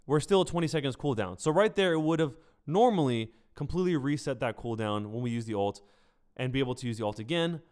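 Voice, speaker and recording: A man's voice: mid-range voice, smooth. A man hosting a live game stream, giving casual news commentary in an informal, conversational way, speaking directly to his viewers. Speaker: game streamer and video host.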